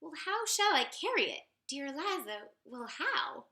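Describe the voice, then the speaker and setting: Very bright, animated woman reading aloud. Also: sits high, moderate pace. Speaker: storyteller reading a picture book aloud. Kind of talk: expressive sing-song children's read-aloud. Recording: roomy, echoey sound.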